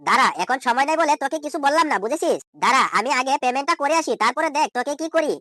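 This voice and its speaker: A man voicing an exasperated, scolding ordinary village man in an amateur comedy cartoon, repeating questions indignantly through a pitch-shift effect. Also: rapid-fire, sharp tone. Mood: irritated.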